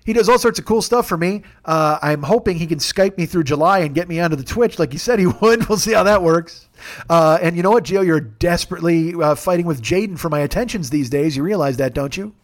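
A man in his forties hosting a podcast alone, talking in a rambling, jokey, self-deprecating way with a gravelly voice. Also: on the high side, a little fast. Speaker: podcast host. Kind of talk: casual solo monologue.

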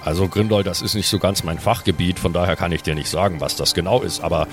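A man's voice: low voice, quick pace. A man playing a tabletop role-playing game, chatting casually and improvising banter in character. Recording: music behind the voice. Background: music.

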